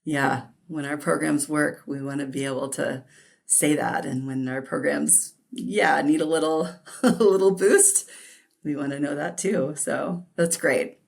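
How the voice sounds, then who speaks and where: A woman's voice: low voice, steady tone, unhurried, vocal fry. A woman hosting a podcast, reading a guest introduction and then chatting casually and effusively, with many fillers and laughs. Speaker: podcast host. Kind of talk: casual interview chat.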